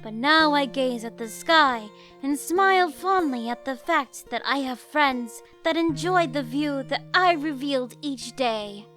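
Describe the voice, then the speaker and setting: A woman voicing a dignified, gentle pony princess with formal, stately phrasing in a fan fiction reading. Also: high-pitched, animated, measured pace, hardly any pauses, soft background music. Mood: fond. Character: dignified, gentle, regal dark alicorn princess mare.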